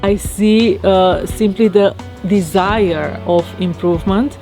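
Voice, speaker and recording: A woman from Romania with a Romanian accent, talking reflectively to her viewers in a halting way with many fillers. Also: medium pitch, warm tone, soft background music. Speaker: vlogger. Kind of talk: casual monologue to camera. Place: outdoors in her garden.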